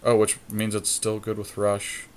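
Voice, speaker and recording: A man with a fairly low voice talks casually through a card game as a podcast co-host. He reasons out each play aloud, conversationally, as if to a partner beside him. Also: completely flat delivery, a little slow, darkish tone, smooth. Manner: conversational, thinking plays aloud.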